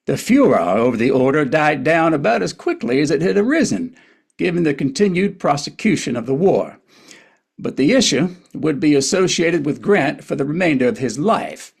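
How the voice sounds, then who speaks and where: A man's voice: a little high, gravelly, husky, heavily acted. A man playing the wry, conversational narrator of a historical play at a table reading. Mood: matter-of-fact.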